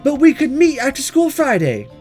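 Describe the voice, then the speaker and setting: A man's voice: very high voice, wildly swooping pitch, moderate pace, a little mellow, voice pushed up, full character voice. A man voicing an aloof, haughty teenage schoolgirl in a visual novel, with a curt, airy manner.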